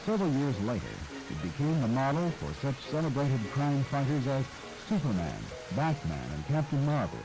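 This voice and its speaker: Articulate male narrator with lively pitch. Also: music in the background, low-quality recording. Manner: measured, articulate storyteller. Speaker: documentary narrator. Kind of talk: scripted narration.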